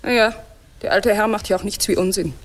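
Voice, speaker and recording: An actress playing a clever, curious and slightly vain Greek goddess in an ironic radio play, chatting in a playful, teasing way. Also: female voice, mid-range voice, fairly quick, lightly acted.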